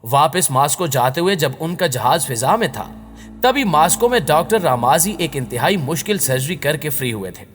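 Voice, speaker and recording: A man's voice: fairly high pitch, sing-song, normal speed. A man reading a scripted dramatic story as a voice-over narrator. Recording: long reverb on the voice. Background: background music.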